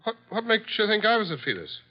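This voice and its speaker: A shifty, self-justifying suspect in a vintage radio whodunit. The voice sits a little high and moves in an animated way, on a dull old recording. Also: male voice, fast talker. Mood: defensive. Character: defensive, shifty male artist's agent under suspicion. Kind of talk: 1950s American radio mystery acting.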